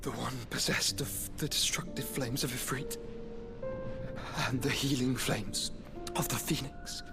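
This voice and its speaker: Gentle dying brother for a game drama, acted by a man: solemn, halting, near-whispered, slow delivery over swelling music. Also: medium pitch, steady tone, close to an everyday voice. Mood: weak, reflective. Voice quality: breathy, often dropping to a whisper. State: weak, strained, fading. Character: gentle dying younger brother, earnest and devout. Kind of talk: scripted game cutscene acting.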